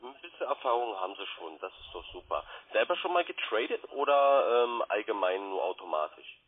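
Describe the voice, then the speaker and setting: Casting: man, raspy mid voice, phone-quality sound, polite and keen call centre sales patter full of questions. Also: lowish voice, big pitch swings, fairly quick. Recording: muffled, band-limited phone line.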